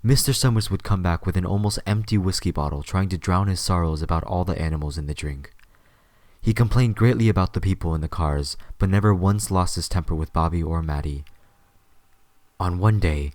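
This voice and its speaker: A man's voice: low voice, a little mellow, dry recording. A man reading scripted story narration as the narrator of an audio drama.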